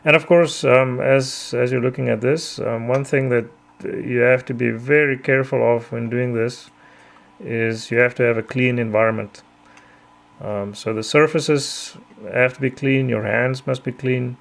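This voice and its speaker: Middle-aged Afrikaans-native male narrator, mid-pitched gravelly voice, methodical tutorial delivery. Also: fairly even intonation. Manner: measured, careful, frequent ums. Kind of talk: explanatory voice-over.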